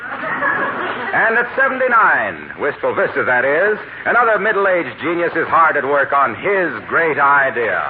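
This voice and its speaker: A man's voice: on the high side, wildly swooping pitch, a little slow, a crowd in the background, noisy background. A man with an American accent announcing in polished, emphatic 1940s radio style. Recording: tinny band-limited old radio recording, music behind. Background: music.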